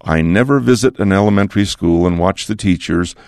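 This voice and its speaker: Older male church leader, low gravelly voice, deliberate earnest sermon delivery. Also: a little mellow, dry recording, clean studio sound. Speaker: church leader addressing students. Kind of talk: devotional address.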